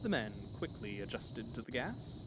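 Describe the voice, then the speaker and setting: A man reads a scripted horror tale as narrator, with theatrical, dramatic delivery. His voice sits at a middle pitch. The recording carries clear background noise. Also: very animated, moderate pace.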